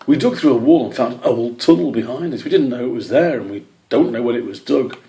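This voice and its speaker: A man voicing a gruff, worried older badger father in an animal story, with urgent, commanding delivery. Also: mid-range voice, fast talker, warm tone, pitched above the performer's own voice, lightly acted. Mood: puzzled, grave.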